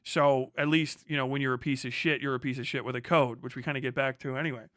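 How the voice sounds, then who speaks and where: A man with a clear, bright and fairly high voice talks through a livestream monologue. He wanders from point to point, gives firm opinions and swears freely. The sound is close and dry. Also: steady tone, quick pace, no room sound. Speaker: livestream host. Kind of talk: rambling livestream monologue on film and culture.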